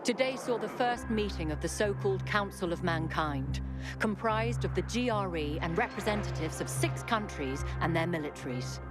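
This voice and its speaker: A woman playing a grave, principled radio news anchor in a game, with a solemn, measured, emphatic delivery. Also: lowish voice, expressive, close to an everyday voice. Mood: formal. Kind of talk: scripted radio news bulletin. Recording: loud music bed under voice.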